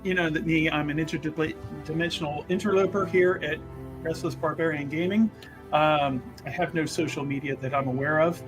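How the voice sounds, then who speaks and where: A man's voice: on the high side, fairly even intonation, moderate pace, music in the background. A man speaking casually as a player on a tabletop game stream, in a wordy, mock-grandiose way.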